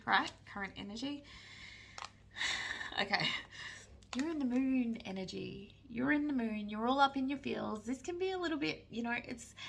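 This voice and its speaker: Gravelly-voiced woman reading tarot cards. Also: fairly high pitch, fairly bright tone. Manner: chatty, keeps checking in with "right?". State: losing her voice. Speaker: psychic tarot reader. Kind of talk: pick-a-card tarot reading to camera.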